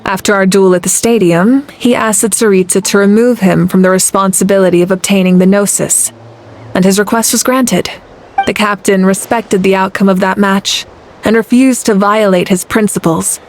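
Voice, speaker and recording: A woman's voice: mid-range voice, fairly even intonation, a little hiss or hum, lightly acted. Composed, earnest warrior leader played by a woman. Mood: serious, thoughtful. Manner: measured, formal, thoughtful. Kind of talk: scripted game cutscene dialogue.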